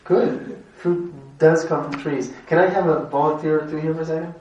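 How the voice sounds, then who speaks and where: Man leading a casual class, quizzes the room; higher-pitched, recorded from across an echoey room. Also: fairly even intonation, moderate pace, a little mellow, muffled recording. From Montreal, Canada. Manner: chatty, asks the group questions, laughs often. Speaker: rabbi teaching a class. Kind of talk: informal classroom lesson. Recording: echoey room, mic at a distance, faint noise.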